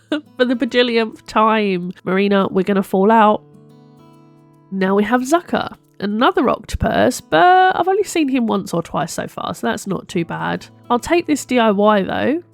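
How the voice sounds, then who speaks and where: A woman's voice: on the high side, animated, unhurried, vocal fry, faint music behind. A woman gives chatty, casual gameplay commentary as a video host, her voice sounding slightly bunged up. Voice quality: slightly congested, bunged-up nose. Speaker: host of a gaming video series.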